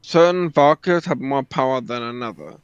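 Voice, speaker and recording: A man's voice: medium pitch, fairly quick, clear, resonant tone, no room sound. A man explaining something in casual chat, recorded on a slightly muffled microphone.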